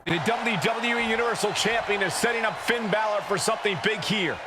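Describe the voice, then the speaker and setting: A man playing an excited wrestling play-by-play announcer in a video game, with emphatic, projected commentary. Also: high voice, brisk, over a noisy crowd, lightly acted. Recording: loud arena noise behind.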